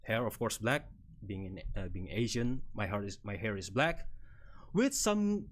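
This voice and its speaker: A man's voice: on the low side, expressive, a little slow. A man in his thirties from Indonesia, speaking English with an Indonesian accent, chatting casually on a live stream as he presents slides about himself with a rambling, good-humored manner and frequent chuckles. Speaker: VTuber streamer.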